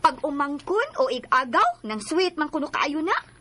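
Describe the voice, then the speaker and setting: A woman's voice: high voice, very animated, a little slow, pushed and bright, a little hiss or hum, full character voice. A woman acting a gossiping, insinuating friend in a Filipino radio drama, talking in an insistent way. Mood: knowing, suspicious.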